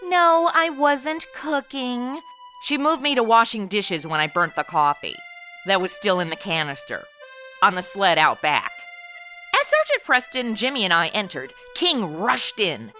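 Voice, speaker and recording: Bright, sarcastic modern wisecracker. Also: female voice, mid-range voice, very animated, a little slow, faint music behind, poor audio. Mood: wry narration. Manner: sarcastic, quippy, modern slang. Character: sarcastic modern young woman stranded in the 1890s. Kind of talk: comedy audio drama acting.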